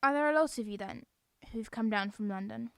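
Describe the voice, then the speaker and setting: Actress doing a cheeky blunt farm girl, high bright voice, audio drama. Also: fairly quick, gravelly, dry recording, clean studio sound. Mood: matter-of-fact. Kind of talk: audio play acting.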